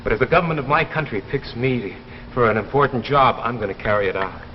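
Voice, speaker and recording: Guy acting nervous apologetic husband, 50s sci fi drama, stammers, noisy old recording w/ boomy echo. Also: natural voice. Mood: guarded, earnest. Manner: hesitant, halting, stammering. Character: uneasy, apologetic husband recruited for a secret space mission. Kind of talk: 1950s television drama acting. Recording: muffled, noisy, echoey 1950s broadcast recording.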